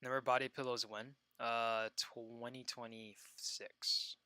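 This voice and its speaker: A young man streaming a video game, joking and excitable, swinging between loud outbursts and low muttering. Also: takes it slowly, clean tone, dry recording. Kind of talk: casual gameplay commentary.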